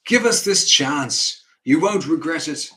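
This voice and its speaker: A man's voice: fairly high pitch, lively intonation, a little slow, sharp tone, voice pushed up. A man playing an honest northern English factory man in a musical, making a heartfelt plea. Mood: pleading. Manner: heartfelt, pleading.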